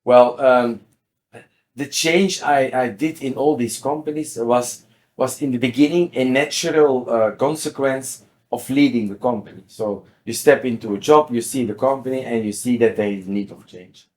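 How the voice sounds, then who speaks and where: Measured Belgian man in his sixties. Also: mid-range voice, darkish tone. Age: 60s. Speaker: interview guest, company CEO. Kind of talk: explanatory interview conversation.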